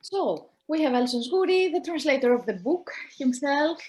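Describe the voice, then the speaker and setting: A woman's voice: high-pitched, sing-song, moderate pace, a little mellow. A woman hosting an online book presentation, giving brief welcoming prompts. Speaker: presentation host. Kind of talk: online panel moderation.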